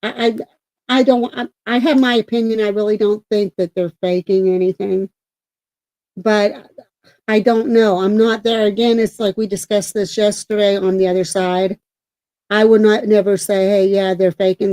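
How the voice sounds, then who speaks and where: Loud, talkative middle-aged woman. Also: mid-range voice, fairly even intonation, moderate pace, no echo. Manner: self-described talker, loud and projecting; rambling with many restarts. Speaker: co-host of a paranormal talk show. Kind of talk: casual livestream chat.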